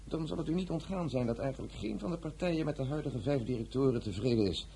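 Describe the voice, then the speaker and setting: An actor playing a smooth, scheming politician in a radio drama, formal, ingratiating and persuasive. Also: male voice, mid-range voice, animated, fast, mellow, a little hiss or hum. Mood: insinuating.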